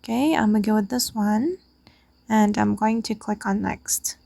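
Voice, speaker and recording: A woman's voice: mid-range voice, normal speed, gravelly, warm tone. A woman hosting a casual step-by-step screen tutorial, speaking informally as if to friends. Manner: informal, addresses viewers as guys. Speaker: tutorial host.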